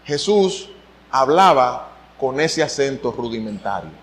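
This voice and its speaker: A man's voice: mid-range voice, unhurried. A middle-aged man from the Dominican Republic with a Dominican accent, preaching a sermon as pastor, his voice swinging upward to stress a point. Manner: animated preaching, voice swinging up for emphasis.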